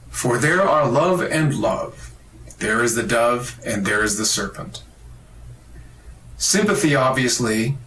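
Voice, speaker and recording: A man giving a measured, solemn reading of a philosophical essay, with deliberate pacing and emphatic rises on key phrases. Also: mid-range voice, fairly bright tone. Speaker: reader of an esoteric text. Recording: distant mic in an echoey room with faint ambient noise.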